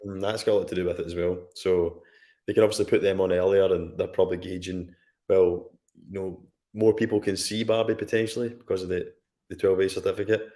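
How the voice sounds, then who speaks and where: A man from Scotland with a Scottish accent, a guest on a film podcast, telling a story in a relaxed conversational way with asides. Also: deep voice, brisk. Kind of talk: casual chat on a live stream.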